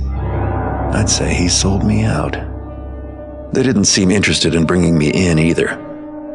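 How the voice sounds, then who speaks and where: A very deep, gravelly voice for a world-weary thief antihero in a video game. He is dry and sardonic, a loner who understates everything, over clearly audible music. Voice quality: gravelly, very low. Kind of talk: video game cutscene narration and dialogue.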